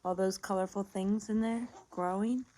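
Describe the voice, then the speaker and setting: A woman's voice: mid-range voice, fairly even intonation, normal speed, mellow. A woman reading a picture book aloud as a lesson for young children, explaining simply, asking questions and repeating words.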